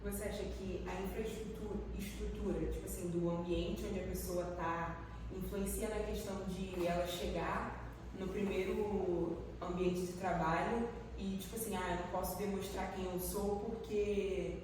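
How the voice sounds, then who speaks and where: A woman's voice: mid-range voice, fairly even intonation, normal speed. A woman asking questions in a casual conversation. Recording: echoey room, distant mic, noise.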